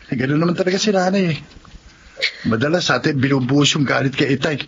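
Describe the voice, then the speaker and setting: A man acting a caring, earnest student and younger brother in a Tagalog radio drama, speaking gently. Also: a little high, quick pace, close to an everyday voice. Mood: concerned, consoling. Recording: dull lo-fi radio audio, faint noise.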